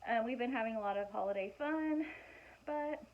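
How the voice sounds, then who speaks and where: A woman in her forties with a hoarse, fading voice, casually chatting through gift ideas in a home vlog with lots of fillers and restarts. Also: a little high, normal speed, a little hiss or hum. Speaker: vlogger showing gift ideas. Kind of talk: casual show-and-tell vlog. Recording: muffled lo-fi home recording.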